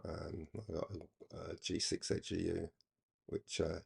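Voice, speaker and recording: An older man reminiscing casually in a halting, rambling, reflective way. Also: low voice, flat delivery, vocal fry, husky. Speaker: hobby radio channel presenter.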